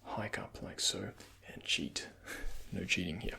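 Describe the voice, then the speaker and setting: Soft, gravelly half-whispering ASMR man. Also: low-pitched, fairly even intonation. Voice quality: breathy, often near-whispered. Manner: gentle, unhurried, courteous clinical instructions. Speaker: ASMR performer playing a doctor. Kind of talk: soft-spoken ASMR medical roleplay, addressing the listener as a patient. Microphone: close microphone.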